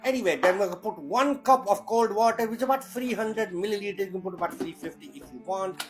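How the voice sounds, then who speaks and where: A man's voice: high voice, fast, loud music behind the voice. A man with a Yorkshire accent hosting a crude, jokey home recipe demo, swearing freely as he talks through each step in his kitchen. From Yorkshire, England. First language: Indian heritage. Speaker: cooking show host.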